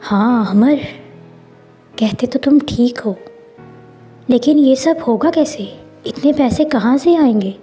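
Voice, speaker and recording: A woman voicing a gentle, poor mother and wife in a story, with a husky voice. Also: sits high, takes it slowly, pauses often, soft background music. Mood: doubtful.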